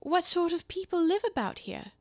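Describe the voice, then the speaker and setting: A woman's voice: very high-pitched, sing-song, moderate pace, no echo, clean studio sound, voice pushed up, exaggerated voice. A woman voicing an earnest, proper young girl in a storybook, with questioning, lilting speech. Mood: polite, curious. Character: polite, earnest, curious little girl.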